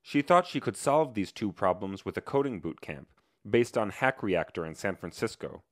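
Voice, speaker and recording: A man reporting, reading a scripted news narration with measured, even delivery. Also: lowish voice, dry recording, clean studio sound.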